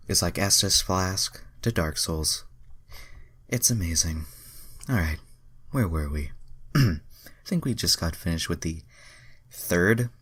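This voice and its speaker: Very deep-voiced man reading fan fiction. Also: a bit bright, slightly rough. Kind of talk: dramatic reading with casual asides.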